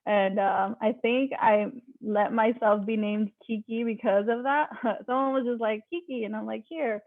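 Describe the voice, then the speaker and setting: A woman's voice: a little high, vocal fry, dry recording. A woman from Mexico, a native Spanish speaker, speaking casually as a math teacher on a panel, with many likes and ums. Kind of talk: panel discussion. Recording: tinny dry phone-like line.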